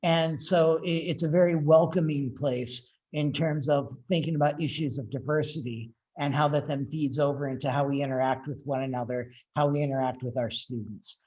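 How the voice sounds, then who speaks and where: A middle-aged man from outside Ireland who heads a school, giving a relaxed information talk with frequent ums. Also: a little high, low-quality recording. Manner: relaxed, many ums, measured. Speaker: head of economics school.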